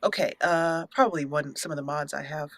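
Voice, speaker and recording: A woman's voice: deep voice, brisk, a bit bright. A woman hosting a casual let's play, chatting playfully with mock indignation and mild joke swearing. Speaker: gaming channel host.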